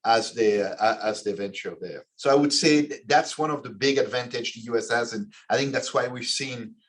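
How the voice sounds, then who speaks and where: A man from Belgium in his forties, answering questions as a podcast guest in a measured way, with frequent restarts and fillers. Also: medium pitch. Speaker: guest, finance executive.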